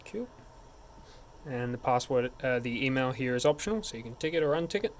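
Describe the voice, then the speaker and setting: A man's voice: medium pitch, lively intonation, brisk. A man narrating a hands-on technical tutorial in an informal, step-by-step way. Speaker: tech support instructor. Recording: clear background noise.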